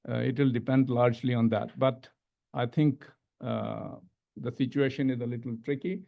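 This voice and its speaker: A middle-aged man with an Indian accent giving a panel presentation as an academic, halting and repeating words as he stresses each new point. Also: mid-range voice, fairly even intonation, creaky, narrow, tinny audio. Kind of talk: panel presentation, semi-scripted lecture. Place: medium-sized indoor conference room. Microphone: gooseneck table microphone.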